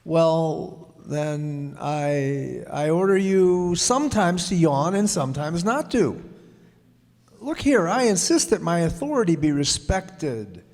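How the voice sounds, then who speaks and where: A male actor plays a self-important monarch in a radio play, issuing commands with grand, drawn-out slowness. His pitch sits fairly high and swings widely, and the voice rings in a long hall reverb. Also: sing-song, darkish tone, full character voice. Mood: imperious. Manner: pompous, imperious. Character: pompous, self-important king of a tiny asteroid. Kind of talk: theatrical radio play acting.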